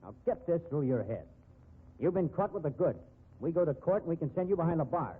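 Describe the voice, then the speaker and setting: Sneering crooked investigator, tinny old radio. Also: male voice, a little high, big pitch swings, brisk, slightly rough. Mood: bullying. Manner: hectoring, sneering, slangy tough-guy talk. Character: crooked bullying male private investigator, sneering blackmailer. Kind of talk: 1950s radio drama acting. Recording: tinny band-limited 1950s radio recording.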